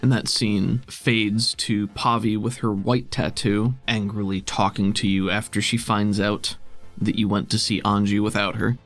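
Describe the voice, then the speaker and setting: A man narrating tabletop game scenes as game master in a measured, descriptive manner. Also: fairly low pitch, flat delivery, fairly bright tone, faint music behind.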